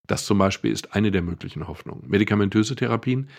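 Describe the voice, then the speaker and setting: Man, low voice, gravelly, husky, thoughtful explainer, podcast co-host, dry humor. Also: fast. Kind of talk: casual explanatory chat.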